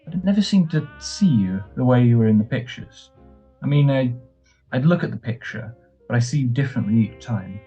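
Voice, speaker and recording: Man acting a mellow young returned serviceman. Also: mid-range voice, animated, brisk, close to an everyday voice. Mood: wistful, earnest. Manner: affectionate, teasing, wistful, hesitant. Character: tender, playful young returned serviceman husband. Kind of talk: 1940s radio drama acting. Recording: dull muffled audio, faint music.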